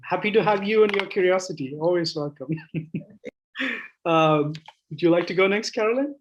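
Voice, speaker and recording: Man, host, video call, friendly prompts, slightly high voice. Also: moderate pace, muffled recording, slight background noise. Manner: friendly, inviting, speaks in short prompts. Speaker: workshop host. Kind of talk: casual online meeting.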